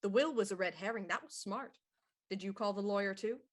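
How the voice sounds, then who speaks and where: A woman's voice: medium pitch, a little fast, lightly acted. A woman playing a shrewd, probing police inspector in a radio murder mystery, pointed and theatrical. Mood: coolly probing.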